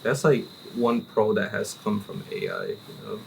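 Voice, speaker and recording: A man hosting a casual cigar podcast, telling a story in an animated way and peppering his talk with "like" and "right?". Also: lowish voice, moderate pace, creaky, slight background noise, poor audio. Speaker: podcast host. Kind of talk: casual chat. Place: relaxed cigar-smoking hangout with friends.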